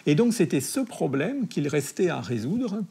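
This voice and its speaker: A historian of science interviewed for a documentary, speaking hesitantly with many fillers. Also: male voice, a little high, big pitch swings, normal speed, vocal fry, dark tone, slight background noise.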